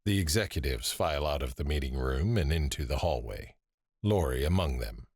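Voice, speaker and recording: A man narrating an audio drama in measured, descriptive scripted prose. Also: a bass voice, animated, a little mellow, husky, dry recording, clean studio sound, exaggerated voice. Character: male narrator setting scenes, measured and descriptive. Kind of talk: scripted present-tense scene narration.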